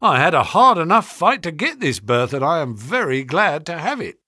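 A man's voice: on the high side, sing-song, normal speed, sharp tone, breathy edge, no echo, studio quality, pitched above the performer's own voice, full character voice. A man voicing a polite, earnest young stockbroker's clerk in an audiobook story. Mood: earnest, surprised. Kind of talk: audiobook character dialogue.

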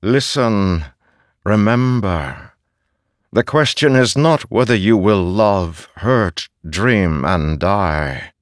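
A man voicing a haunting, solemn, wise spirit in a fantasy audiobook, speaking in measured, deliberate pronouncements. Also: on the low side, expressive, no echo, studio quality. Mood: grave, consoling.

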